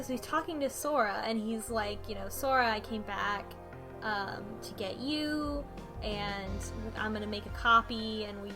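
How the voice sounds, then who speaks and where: A woman co-hosting a casual chat, recapping a story with lots of ums and restarts. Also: fairly high pitch. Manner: rambling, many ums and restarts. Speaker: podcast co-host.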